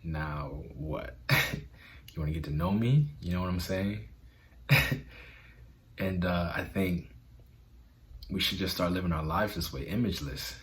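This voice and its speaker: A man's voice: low-pitched, measured pace, long pauses, fairly bright tone, slight background noise. A man vlogging in an earnest, conversational way about self-acceptance, with a stutter. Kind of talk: motivational vlog talk.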